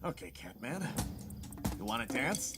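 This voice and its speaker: An earnest, resolute soldier hero. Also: male voice, mid-range voice, big pitch swings, measured pace, fairly bright tone, soft background music. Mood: guarded, earnest. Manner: earnest, determined. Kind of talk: cinematic audio drama acting.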